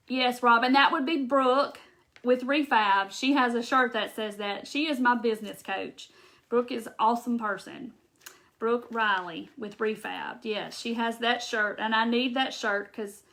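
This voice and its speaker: Chatty Southern woman, bright voice. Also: fairly high pitch. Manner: chatty, talks straight to viewers and answers comments; rambling with restarts and laughs. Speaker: craft video host. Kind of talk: live craft demonstration.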